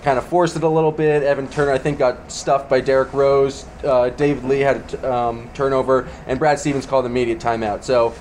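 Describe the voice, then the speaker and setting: A man with an average-pitched voice chats about sports as a panelist. His delivery is informal and conversational, recorded with a little ambient noise. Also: steady tone, fairly quick, few pauses, darkish tone. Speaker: sports podcast co-host, panelist. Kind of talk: casual basketball post-game chat. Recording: faint background ambience noise.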